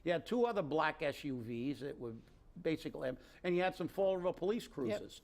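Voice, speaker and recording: A man's voice: fairly high pitch, lively intonation, a little fast. A man co-hosting a local political talk show, ranting in an indignant, sarcastic way and rambling with restarts. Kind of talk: opinionated casual political chat.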